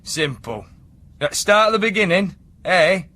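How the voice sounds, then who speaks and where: An actor playing a plain-spoken, domineering working-class boyfriend with a Yorkshire accent in a radio drama. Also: male voice, high voice, sing-song, a little slow, sharp tone, full character voice. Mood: chatty, bossy. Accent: Northern English, Yorkshire. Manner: matter-of-fact, bossy, plain-spoken.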